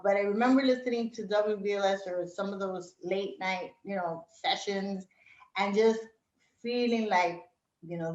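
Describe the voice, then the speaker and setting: A middle-aged woman talks through her memories in a relaxed, rambling way, full of fillers and little laughs. Her voice sits at an ordinary pitch. The recording is roomy and slightly dull. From Puerto Rico, raised in Brooklyn, New York. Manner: reflective, many fillers, laughs often. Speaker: interview guest. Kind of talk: casual reminiscing interview.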